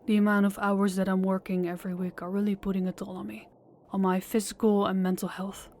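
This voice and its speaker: A woman's voice: medium pitch, flat delivery, a little fast, a little mellow, natural voice. A woman voicing a polite, desperate young woman pleading with a crime boss in an audio roleplay, speaking formally and deferentially. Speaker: voice actor and channel host.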